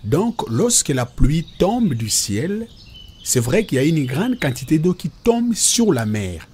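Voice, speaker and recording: A man's voice: a little high, sing-song, measured pace, darkish tone, slight background noise. A man playing a patient cartoon father who explains God and nature to children in a reassuring, teacherly way. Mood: explaining. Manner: didactic, reassuring.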